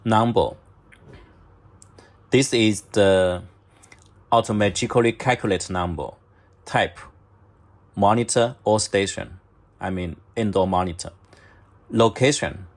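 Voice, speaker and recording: Slow, low-pitched man giving instructions. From China. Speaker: product demonstrator. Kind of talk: step-by-step instructional narration of a device menu.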